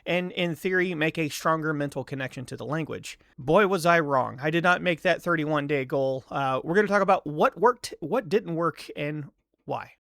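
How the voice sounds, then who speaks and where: A man's voice: fairly high pitch, normal speed, gravelly, a bit bright, no room sound, studio quality. A young man talking candidly to camera in a casual vlog, self-deprecating and rambling, often restarting his sentences. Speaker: vlogger.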